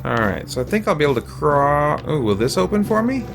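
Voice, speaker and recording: A man's voice: on the high side, big pitch swings, brisk, vocal fry. A man chatting casually as a game streamer, thoughtful and rambling, often hesitant as he comments. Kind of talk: casual livestream chat and gameplay commentary. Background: game music.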